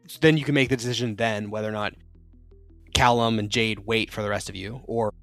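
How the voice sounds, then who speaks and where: A man's voice: lowish voice, steady tone, brisk, fairly bright tone, dry recording, faint music behind. A man acting as game master, explaining rules and setting up the scene for his players. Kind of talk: running a tabletop game.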